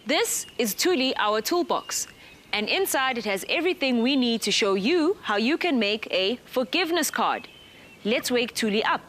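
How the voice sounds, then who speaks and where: A woman's voice: a little high, animated, normal speed, fairly bright tone, a little hiss or hum. A woman presenting a children's TV show, giving cheerful, patient step-by-step craft instructions. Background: music.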